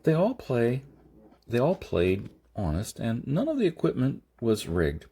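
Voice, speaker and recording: Male audiobook narrator for science fiction, husky and animated in pitch, slightly halting read. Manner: halting, stumbles and rereads phrases. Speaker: audiobook reader.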